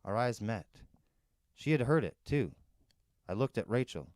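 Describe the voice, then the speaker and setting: A man's voice: mid-range voice, lively intonation, unhurried, darkish tone, no room sound, clean studio sound. A man narrating a first-person adventure novel in a dramatic storytelling style. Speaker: storyteller reading an audiobook.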